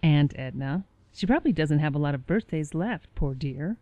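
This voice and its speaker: An actress playing an older, sour small-town office assistant in a radio play, talking in a dry, gossipy way. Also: female voice, low-pitched, animated, moderate pace, dry recording, dull audio. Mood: dryly chatty.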